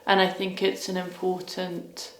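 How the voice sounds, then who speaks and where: A young woman from Wales answering interview questions in a hesitant, thoughtful way, often pausing to search for words. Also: on the low side, completely flat delivery, a bit bright, echoey room, slight background noise, low-quality recording.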